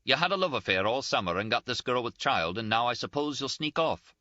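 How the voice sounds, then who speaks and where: A man voicing a tearful, scolding young Scottish nurse, shrill and sobbing in an audiobook. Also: animated, brisk, bright, forceful tone, no room sound, muffled recording. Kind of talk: audiobook character voice.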